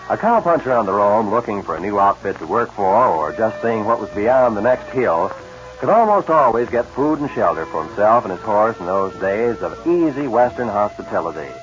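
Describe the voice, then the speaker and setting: A man with an American accent reading scripted announcements and commercials in a 1940s radio style. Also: on the low side. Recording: muffled vintage radio broadcast, music behind.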